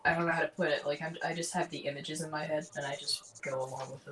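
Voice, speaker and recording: A quiet-voiced woman chatting casually as a guest artist on a stream, laughing often. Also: low voice, fairly even intonation, fast talker. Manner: soft-spoken, laughs often. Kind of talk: casual chat. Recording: noisy stream mic.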